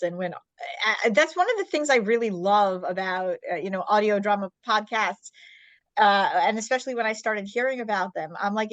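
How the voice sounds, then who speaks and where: A woman's voice: medium pitch, no room sound. Female podcast host, mid-range, dry recording, casual rambling chat.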